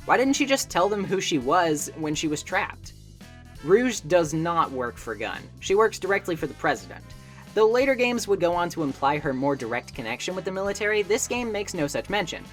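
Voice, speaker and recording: A woman's voice: fairly low pitch, sing-song, brisk. A woman narrating a scripted video essay in an emphatic, conversational way, as its presenter. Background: music.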